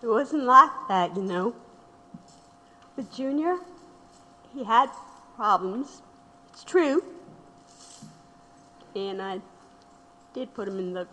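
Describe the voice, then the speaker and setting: Slow, sorrowful older widow. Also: female voice, on the high side, lively intonation, bright, forceful tone, full character voice. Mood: defensive, troubled. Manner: halting, reminiscing. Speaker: actor in an audio drama. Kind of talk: reflective dramatic dialogue. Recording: long hall-like reverb.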